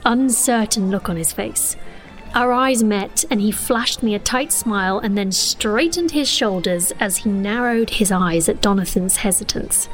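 An actress playing an earnest, romantic young sorceress princess, narrating a fantasy audio drama with expressive, breathy delivery. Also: female voice, medium pitch, close to an everyday voice, low-quality recording. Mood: dreamy narration.

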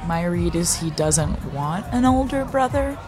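A wry young college student in an audio drama. Her voice is on the low side, dry and teasing, with music clearly playing behind it. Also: very animated, a little slow. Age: young adult. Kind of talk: scripted audio drama dialogue.

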